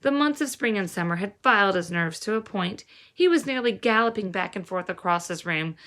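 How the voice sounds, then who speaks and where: Female storyteller, medium pitch, expressive read-aloud of children's fiction. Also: brisk. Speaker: reader, teacher-like storyteller.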